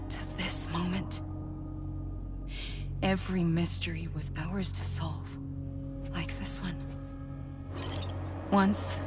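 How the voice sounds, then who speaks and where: An actress playing a weary, grave woman leader in a video game cutscene, speaking in a measured, reflective and solemn way with deliberate pauses. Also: lowish voice, close to an everyday voice. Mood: sorrowful, reflective. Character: weary, grave woman leader confessing her life's mission. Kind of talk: dramatic scripted monologue in a video game cutscene.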